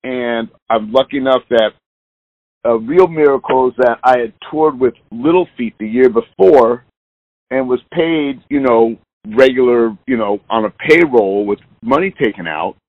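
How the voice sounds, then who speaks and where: A man's voice: mid-range voice, unhurried. A man in his sixties, a musician being interviewed, talking in a loose, candid, rambling way. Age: 60s. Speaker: musician guest. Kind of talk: casual interview chat. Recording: dull lo-fi line.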